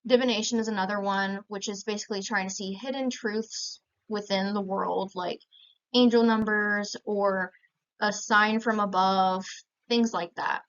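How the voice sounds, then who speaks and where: A young woman with an American accent presents information in a plain, explanatory way. Her voice is smooth and clean, sitting at an average pitch for a woman. The recording is a little muffled and lo-fi. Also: steady tone, unhurried, a bit bright. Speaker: student presenter. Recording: slightly dull, lo-fi sound.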